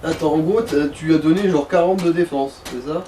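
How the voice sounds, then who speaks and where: A man's voice: on the high side, normal speed, dark tone. A man co-commentating a game in casual chat, with a dry, teasing manner. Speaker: let's play co-commentator. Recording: distant mic, faint background noise.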